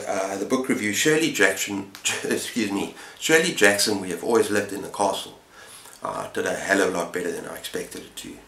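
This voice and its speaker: A middle-aged man talks casually to a camera in the manner of a book vlogger. His voice sits fairly low, and he moves in short, halting phrases. The room adds a clear echo around his words. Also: fairly bright tone. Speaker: book vlogger, channel host. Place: book-lined room at home, indoors. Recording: roomy, echoing indoor sound.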